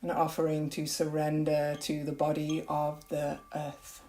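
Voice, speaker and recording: A woman yoga nidra teacher leading a guided meditation in a gentle, unhurried, soothing way with long pauses. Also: low-pitched, completely flat delivery.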